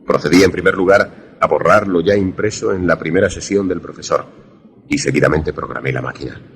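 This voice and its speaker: An actor playing a wary, grave protagonist who narrates a radio suspense drama formally. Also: male voice, deep voice, brisk, creaky. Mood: solemn storytelling. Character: wary male narrator-protagonist, secret lover in danger.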